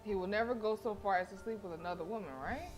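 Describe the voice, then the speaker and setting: A woman's voice: mid-range voice, quick pace. Woman streaming a visual novel, reads it out and talks back, sassy, faint game music. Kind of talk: reading visual novel text aloud with casual reactions.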